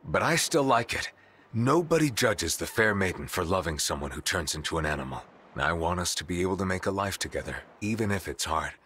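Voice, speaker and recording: A man's voice: deep voice, animated, a little fast, fairly bright tone, slight background noise. An actor playing an earnest, romantic young man in a video game cutscene, sincere and tender.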